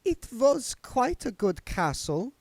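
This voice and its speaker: A man's voice: very high voice, big pitch swings, slow pace, a little mellow, no room sound, pitched above the performer's own voice, exaggerated voice. A man voicing a haughty older woman, an affected, stiff vampire countess in a book read aloud. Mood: stiff, defensive.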